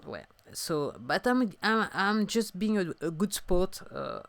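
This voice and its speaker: French lady in her 50s thinking out loud on her podcast, lots of stops and restarts, smooth clear voice, dead dry sound. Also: lowish voice, animated, no room sound. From France. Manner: halting, searching for words, soft and musing. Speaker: singer-songwriter podcaster. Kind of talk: reflective solo podcast talk.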